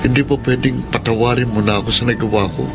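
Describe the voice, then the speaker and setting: A man's voice: medium pitch, fairly even intonation, fast, over music, natural voice. A man playing an apologetic husband, coaxing and pleading for forgiveness in a Tagalog radio drama.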